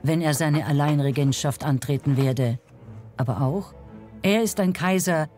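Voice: medium pitch, a little slow, darkish tone, faint music behind. A narrator reading a scripted historical documentary.

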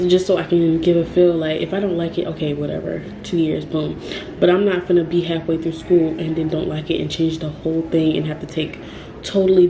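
Low, flat-voiced young woman vlogging. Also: reverberant, a little hiss or hum, poor audio. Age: young adult. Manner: rambling, frequent ums and self-corrections. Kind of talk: casual talk to camera.

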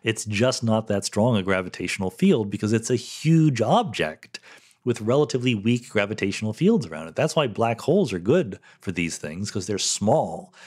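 A man's voice: lowish voice, darkish tone. A middle-aged man hosting a solo listener-question podcast, explaining science in a thoughtful, conversational way and checking in with "right?" and "okay?".

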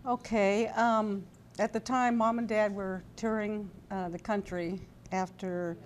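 A woman in her sixties telling a personal story as an interview guest, halting and conversational. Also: medium pitch, steady tone. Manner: halting, conversational, voices quoted dialogue. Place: indoor TV studio-style set at a convention. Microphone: lapel mic. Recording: background noise and room ambience.

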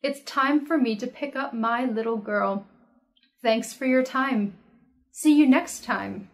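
A young woman, an English teacher, reading everyday practice dialogues aloud slowly and carefully, clearly enunciating and saying each line twice. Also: on the high side. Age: young adult. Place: indoor studio with red curtain backdrop.

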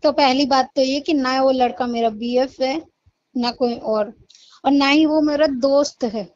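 A high-pitched, defensive young ex-girlfriend acted by a woman in a phone-call drama. The sound is dry and dull, and she argues back with exasperation. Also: fairly even intonation, unhurried, darkish tone, no room sound. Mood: indignant. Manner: argumentative, retorting with questions. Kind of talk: staged phone-call conversation in casual Hindi.

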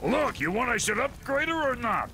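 A man voicing a gruff, boastful, foul-mouthed dwarf blacksmith in a video game, gravelly, crude and folksy. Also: very high-pitched, sing-song, moderate pace, pushed and bright, slight background noise, exaggerated voice. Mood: boastful, salesmanlike.

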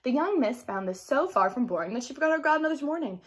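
A young woman reads a children's fairy tale aloud. Her voice sits a little high and swings up and down in an animated way. The delivery is theatrical and expressive. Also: quick pace. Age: young adult. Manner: theatrical, explanatory asides. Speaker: storybook reader. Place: small indoor room at home.

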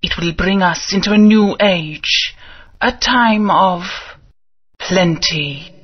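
An actor playing an ancient godlike spirit, speaking in slow solemn proclamations. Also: male voice, high voice, husky, exaggerated voice. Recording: dull game audio with long reverb.

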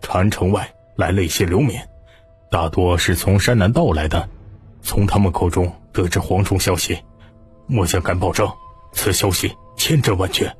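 A man's voice: low-pitched, moderate pace, soft background music, pitched below the performer's own voice, close to an everyday voice. A man voicing a grave, dutiful military officer giving a solemn formal report in an audiobook.

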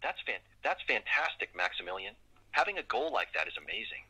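A male AI assistant makes an upbeat, scripted reminder call. The voice is low, very sharp and a bit husky, played through a phone speaker. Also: very animated, fairly quick, rough recording.

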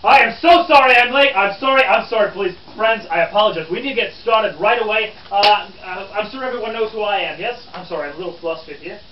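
A man's voice: sits high, fast talker, few pauses, slight background noise, close to an everyday voice. A man playing a pompous, easily exasperated nineteenth-century newspaper reporter who stammers and hectors his pupils in a live stage show. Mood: flustered, apologetic. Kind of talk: living-history stage performance for an audience. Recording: roomy, distant, dull live audience recording.

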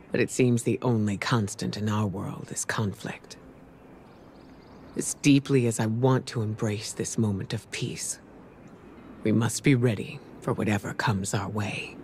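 An actor playing a weary veteran soldier in a game cutscene, speaking in a measured, reflective and earnest way. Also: male voice, fairly low pitch, a little mellow, slight background noise. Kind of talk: scripted game cutscene monologue.

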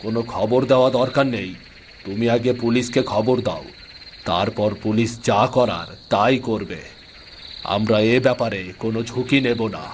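A man's voice: lowish voice, moderate pace, a little hiss or hum, natural voice. A man voicing a stern, brusque hospital doctor in a cartoon. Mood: commanding. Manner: brusque, commanding.

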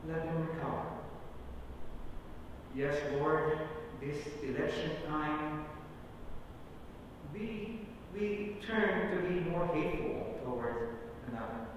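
Male pastor for earnest, solemn prayer in halting phrases; far-off church recording with clear rumbling noise. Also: very echoey, in a large hall, highs cut off. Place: church sanctuary, at the pulpit.

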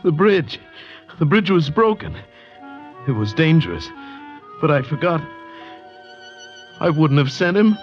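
An actor playing a troubled, guilt-ridden painter in 1940s radio melodrama style. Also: male voice, a little high, big pitch swings, unhurried, pauses often. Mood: anguished. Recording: dull 1940s radio recording with music.

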